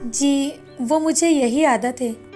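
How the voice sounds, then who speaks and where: High-voiced young cartoon housemaid acted by a woman. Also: takes it slowly, darkish tone. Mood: shy. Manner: deferential, modest. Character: meek, dutiful young housemaid. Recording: music bed behind the voice.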